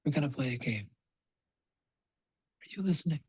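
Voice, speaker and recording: An actor playing an ominous man with a rough, husky voice in a science fiction audio drama. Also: medium pitch, expressive, normal speed, no room sound, clean studio sound. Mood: menacing.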